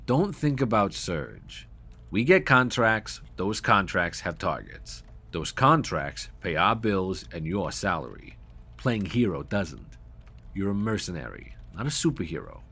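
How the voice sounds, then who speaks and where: A man playing a pragmatic, pushy mercenary company boss in an audio drama, with a husky voice and a brusque, businesslike manner. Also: low voice, big pitch swings, unhurried, pushed and bright, exaggerated voice. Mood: firm. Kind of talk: cinematic audio roleplay acting.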